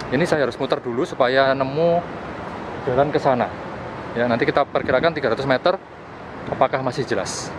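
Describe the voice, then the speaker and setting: A young man vlogger casually explaining a field test as he walks, repeating himself, recorded outdoors at arm's length. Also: mid-range voice. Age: young adult. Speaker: vlogger reviewing gadgets. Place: outdoors in open plazas, park and street. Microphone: camera at arm's length. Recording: noisy outdoor street and park ambience.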